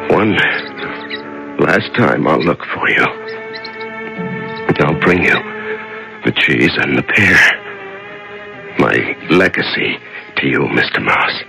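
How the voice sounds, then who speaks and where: An actor playing a weak, exhausted prisoner in solitary confinement, murmuring to a mouse in a 1970s radio drama. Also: male voice, extremely low pitch, expressive, slow pace, vocal fry, pauses often, bright, forceful tone, full character voice. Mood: pleading. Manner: murmuring to a mouse, fading and breaking. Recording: old radio drama with music.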